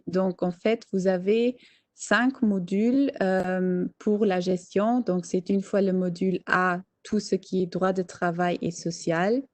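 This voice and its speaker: A woman's voice: mid-range voice, warm tone, no echo. A woman whose first language is German, presenting an information session on a training course, with frequent hesitations and fillers. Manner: hesitant, many fillers, checks audience understanding. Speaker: training advisor.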